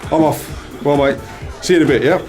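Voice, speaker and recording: A man's voice: a little high, fairly quick, close to an everyday voice. A man acting a teasing, sceptical best mate in an amateur radio play, casual and questioning, with music behind. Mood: teasing, matey.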